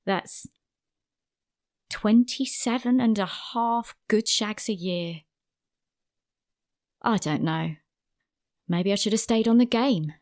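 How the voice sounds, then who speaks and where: An actress playing an earnest, weary middle-aged eighteenth-century matron, speaking formally as in a pleading letter, in an audio drama. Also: female voice, medium pitch, slow, pauses often, darkish tone. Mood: weary, wry. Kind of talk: period audio drama monologue.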